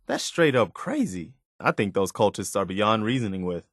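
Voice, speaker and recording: A man's voice: fairly low pitch, very animated, moderate pace, a bit bright, dry recording, studio quality. A man voicing an earnest, principled militia soldier as a companion in a video game. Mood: grim resolve. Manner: earnest, plain-spoken soldier.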